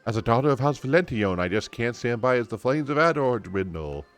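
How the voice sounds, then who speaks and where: A man's voice: medium pitch, expressive, a little fast, soft background music, lightly acted. A man voicing an earnest, eager young noblewoman from a fantasy game in a formal, theatrical way. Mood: earnest, determined.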